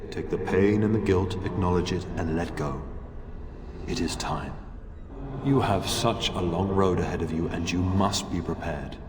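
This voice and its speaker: An actor playing the gentle, wise ghost of a father in a video game, speaking tenderly and consolingly. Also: sits low, unhurried. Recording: echoey hall, clear background noise.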